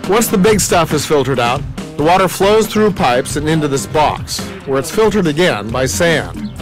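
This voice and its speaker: Guy hosting a reno show, upbeat and jokey, higher voice that bounces around a lot. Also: big pitch swings, over music, low-quality recording. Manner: upbeat, joking, asks quick questions. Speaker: TV host. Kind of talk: home renovation show hosting and voice-over. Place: outdoor backyard.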